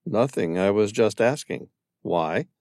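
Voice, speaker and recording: A man's voice: fairly low pitch, animated, measured pace, darkish tone, dry recording, clean studio sound. Man reading a persistent, curious stranger. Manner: inquisitive, persistent questioning. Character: curious, probing male stranger.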